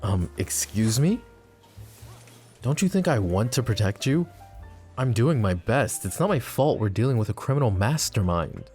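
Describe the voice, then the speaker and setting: An actor playing a possessive, flirty mafia boss in an ASMR roleplay drama, teasing and smug with a cold, threatening edge. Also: male voice, fairly low pitch, very animated, moderate pace, darkish tone, faint music behind. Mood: defensive.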